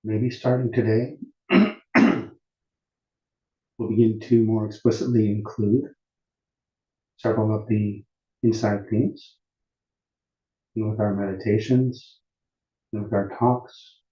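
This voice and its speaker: A man with a low, very mellow and dark voice gives meditation instruction. He speaks calmly and deliberately, leaving long pauses. The sound is muffled, with a clear roomy echo. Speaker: meditation teacher. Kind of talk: guided meditation instruction at a retreat.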